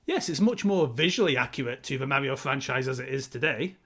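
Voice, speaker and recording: A man's voice: mid-range voice, animated, brisk, a bit bright. A man co-hosting a casual film discussion, explaining things conversationally with some hesitation. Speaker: co-host of a film discussion show. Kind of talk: casual chat, film commentary.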